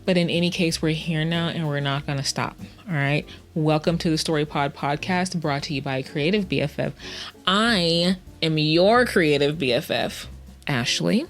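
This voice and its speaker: Low-voiced, playful woman podcaster. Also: normal speed. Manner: playful, slangy, self-interrupting, repeats words for emphasis. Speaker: podcast host, writing coach. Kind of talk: casual, chatty solo podcast answering listener-style questions.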